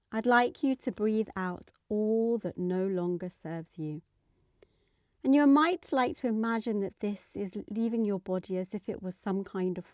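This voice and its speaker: A woman leading a guided meditation in a soothing, gentle manner, letting her phrases trail off softly. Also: mid-range voice, no room sound, studio quality.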